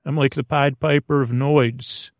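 A man hosting a sleep podcast, rambling in a gently silly, soothing way, halting and circling back on his words with a gravelly creak. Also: medium pitch, steady tone, no room sound, studio quality. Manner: meandering, halting, trailing off mid-word and circling back. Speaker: podcast host. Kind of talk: rambling bedtime-story monologue to help listeners fall asleep.

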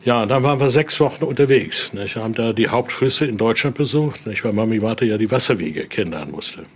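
A man's voice: mid-range voice, lively intonation, quick pace, husky. A man informally guiding visitors through an exhibition, telling stories and often tagging his sentences with short questions. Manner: frequent question tags at ends of sentences. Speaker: guide and collector showing a Voodoo altar exhibition. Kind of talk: informal guided tour, storytelling.

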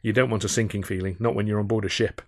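A man reading a first-person audiobook in a measured, expressive and wry storytelling manner, close on the microphone. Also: fairly low pitch, no room sound. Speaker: author reading his own novel.

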